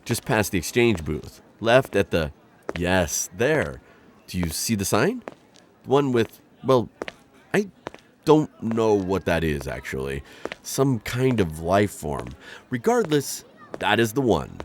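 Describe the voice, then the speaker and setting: A man's voice: fairly low pitch, very animated, slow pace, a little hiss or hum. A man playing an awkward male insectoid bounty hunter in an ASMR roleplay, talking in a formal, flustered, rambling way. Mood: earnest. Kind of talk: ASMR roleplay audio drama.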